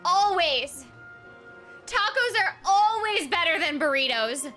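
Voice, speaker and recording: A woman from Texas, a voice actor streaming a game, chatting to her live audience in a bubbly, giggly, animated way. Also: extremely high pitch, slow pace, faint music behind.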